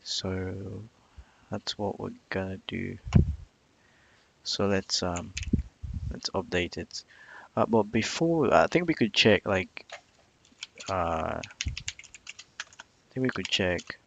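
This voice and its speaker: A man on an informal live coding stream, thinking aloud in a halting way, muttering to himself and repeating phrases. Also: low voice, gravelly, a bit bright, slight background noise. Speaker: live streamer learning software.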